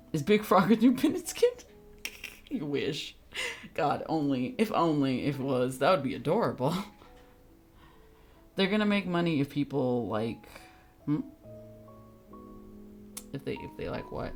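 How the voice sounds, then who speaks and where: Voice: mid-range voice, gravelly. A young adult game streamer chatting casually with viewers in a sweary, giggly, teasing manner. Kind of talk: casual livestream chat with viewers.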